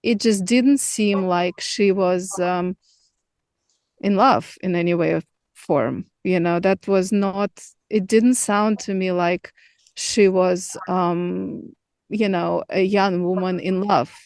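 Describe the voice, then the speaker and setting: A middle-aged woman from Moscow with a Russian accent, a native Russian speaker, answering interview questions thoughtfully with hesitant pauses. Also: fairly low pitch, steady tone, a little mellow, dry recording, clean studio sound. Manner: thoughtful, many fillers and restarts. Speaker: filmmaker guest.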